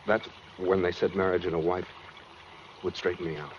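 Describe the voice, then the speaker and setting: An American man playing a brooding, coldly calm escaped killer in a 1940s radio suspense drama, speaking matter-of-factly with quiet menace. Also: sits low, moderate pace, natural voice. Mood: bitter reminiscing. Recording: dull 1940s radio recording with noise.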